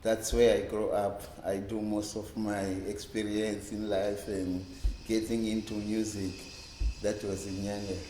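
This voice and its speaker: A man's voice: on the low side, flat delivery, dark tone, a little hiss or hum. South African man in his fifties, echoey room. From South Africa, Cape Town township. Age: 50s. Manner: halting, repeats words, rambling recollection. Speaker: interview guest, reggae bass player. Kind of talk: casual oral-history interview. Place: indoors in a library room. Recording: roomy, echoey indoor sound.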